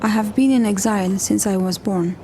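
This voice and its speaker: A woman in her forties from Lebanon, narrating a documentary in a measured, plain-spoken way. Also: mid-range voice, warm tone, slight background noise.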